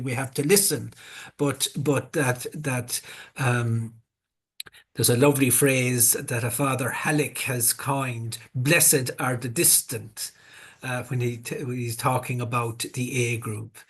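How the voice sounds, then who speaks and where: A man hosting a parish workshop, talking in a measured way and repeating words as he searches for them. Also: medium pitch, a bit bright, slightly rough. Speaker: workshop host.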